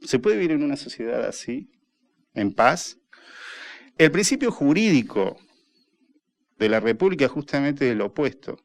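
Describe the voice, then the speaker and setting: Argentine man lecturing in steady measured tones. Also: mid-range voice. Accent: Argentine Spanish, voseo. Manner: lecturing, explains arguments step by step. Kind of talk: radio discussion.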